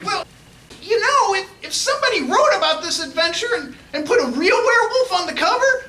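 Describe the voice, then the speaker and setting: A woman playing a naive, folksy, excitable comic sidekick in a live radio play. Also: very high-pitched, brisk, heavily acted. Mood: curious, amused. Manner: exclamatory, folksy. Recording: echoey, noisy stage from far off.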